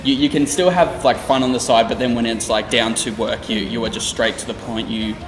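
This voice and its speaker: A young man hosts a casual interview, talking loosely and circling back with many fillers. His mid-pitched voice rings out in a reverberant room. Music plays underneath. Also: steady tone, brisk. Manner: rambling, many fillers and restarts. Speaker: interviewer, channel host.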